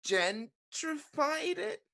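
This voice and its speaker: A woman acts an earnest child puppet in a play. The voice is very high, and it moves slowly, with a lost, bewildered innocence. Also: very animated, creaky, bright, forceful tone, full character voice. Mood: confused, timid. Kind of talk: live play reading, child character voice.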